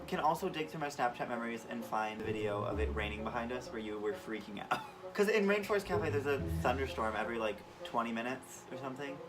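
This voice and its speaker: A young man sits a little away from the mic, with music clearly behind him. He chats casually as a co-host, tossing in joking asides and acting out bits of conversation. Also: mid-range voice, quick pace, few pauses, distant mic, low-quality recording. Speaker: vlog co-host chiming in on stories.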